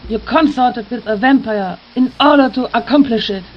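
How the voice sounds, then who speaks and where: A woman's voice: a little high, normal speed, muffled recording, a little hiss or hum, close to an everyday voice. A woman playing a zealous, accusing vampire hunter in a preachy, condemning manner in an audio drama. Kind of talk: fan audio drama acting.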